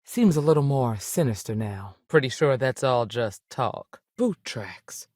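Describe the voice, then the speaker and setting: A woman's voice: very deep voice, expressive, measured pace, a little mellow, no echo, studio quality, full character voice. An actress playing a tough, dutiful woman space ranger in a video game, speaking in a plain, firm manner. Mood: serious, matter-of-fact. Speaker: video game character voice actor. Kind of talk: game dialogue lines.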